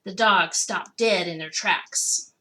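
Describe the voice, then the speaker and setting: Lady reading a kids story out loud, bright expressive voice, bit of noise in the background. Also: mid-range voice, takes it slowly. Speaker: school technology coordinator reading to children. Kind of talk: expressive children's picture-book read-aloud.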